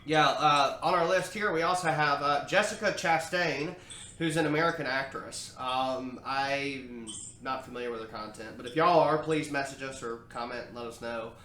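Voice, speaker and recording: A man hosting a casual podcast, speaking haltingly with many filler sounds. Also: medium pitch, sharp tone. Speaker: podcast host. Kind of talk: casual chat. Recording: roomy echo, faint noise.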